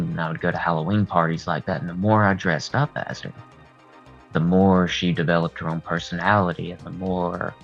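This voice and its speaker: A man with a husky voice talking candidly and at rambling length as a guest in a casual interview. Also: low-pitched. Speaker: horror artist and video creator, interview guest. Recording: dull lo-fi sound, music behind. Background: music.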